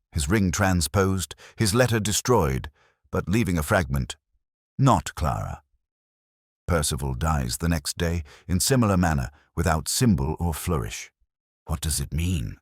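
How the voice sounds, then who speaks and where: Audiobook sleuth, played by a male narrator: extremely low male voice, intense, commanding, deliberate and rhetorical, dry close sound. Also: very animated, dry recording, heavily acted. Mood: probing, grave. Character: brilliant, intense male detective, commanding and cryptic.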